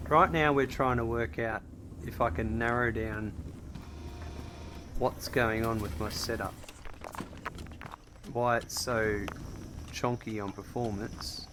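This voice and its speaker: An Australian man talks casually through a factory-building game, musing out loud and replying to his chat. His voice sits at an ordinary middle pitch. A steady hiss of noise sits under the recording. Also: slow pace, long pauses. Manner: thinking aloud, reading and answering chat. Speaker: streamer. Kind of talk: casual gameplay commentary.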